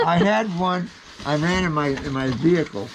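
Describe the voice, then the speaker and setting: A man chatting casually and telling anecdotes in an animated way, like a hobbyist showing off a collection. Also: fairly high pitch, moderate pace, noisy background. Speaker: CB radio collector. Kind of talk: casual storytelling and chat.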